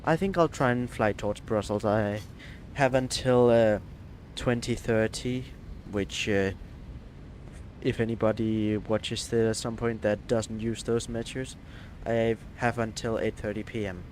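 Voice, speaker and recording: A man's voice: lowish voice, steady tone, unhurried, a little mellow, a little hiss or hum. A man from Denmark, chatting hesitantly with many fillers while streaming a flight simulator. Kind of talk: live stream gameplay chat.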